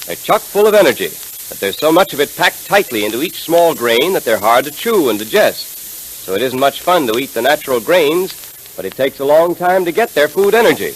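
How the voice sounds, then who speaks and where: A male radio announcer from the 1930s with a slightly high, very animated voice. His delivery is scripted, emphatic and enthusiastic, on a hissy old transcription. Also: a little fast, rough recording.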